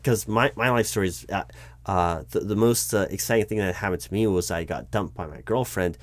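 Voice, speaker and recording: A man playing an exasperated corporate hiring manager in a one-sided interview roleplay, talking in a rambling, halting way with stammers and self-corrections. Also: low voice, dry recording, lightly acted. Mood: flustered, explaining. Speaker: roleplay performer. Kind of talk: improvised one-sided job interview roleplay, spoken aloud.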